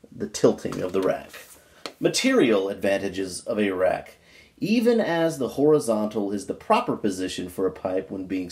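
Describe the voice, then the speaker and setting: A man with a folksy, informal manner, chatting casually and reading aloud from a book in an unhurried way. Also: fairly low pitch, a little mellow. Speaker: pipe-smoking video creator reading a book aloud.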